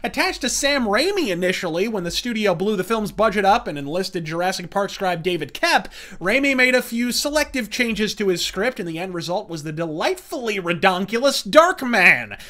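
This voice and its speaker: High-pitched, bright-voiced man ranting through a review. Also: sing-song, normal speed. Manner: florid, profane, emphatic delivery. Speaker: host of a pop culture review show. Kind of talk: scripted commentary, rant-style countdown review.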